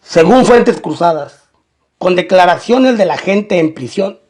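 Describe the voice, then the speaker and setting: A man's voice: high voice, expressive, unhurried, a little mellow. A man narrating a scripted, documentary-style crime story.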